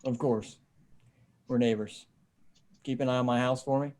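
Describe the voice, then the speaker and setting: A man plays a decent sheriff's deputy surviving hard times. His voice sits at a medium pitch. The delivery is plain and casual, like a dramatic script read over a video call. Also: fairly even intonation, normal speed, a little mellow, natural voice. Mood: calm, reassuring. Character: decent, steady male small-town sheriff's deputy, survivor. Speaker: actor in an amateur table read.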